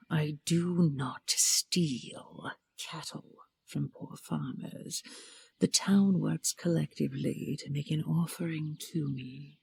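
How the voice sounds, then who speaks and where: Regal dragon queen played by a woman, fantasy audio drama. Gravelly, unhurried, softly commanding, formal and secretive. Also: low-pitched, dry recording, full character voice. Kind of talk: audio roleplay acting.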